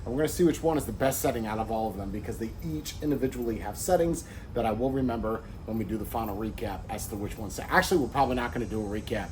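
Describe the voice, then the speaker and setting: A man's voice: lowish voice, quick pace, darkish tone, a little hiss or hum, rough recording. A man reviewing gear in a casual, rambling talk straight to his viewers, restarting sentences as he goes. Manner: persuasive, addressing viewers directly. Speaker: tech product reviewer.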